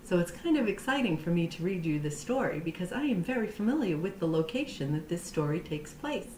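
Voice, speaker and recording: A woman reading a children's picture book aloud as a storytime teacher, with deliberate, expressive phrasing for young listeners. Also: fairly low pitch, a little hiss or hum, low-quality recording.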